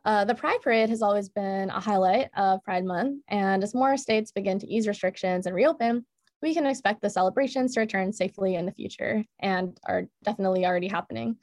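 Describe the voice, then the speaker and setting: A woman's voice: mid-range voice, brisk, darkish tone, no room sound. Woman host, scripted interview question, dry room.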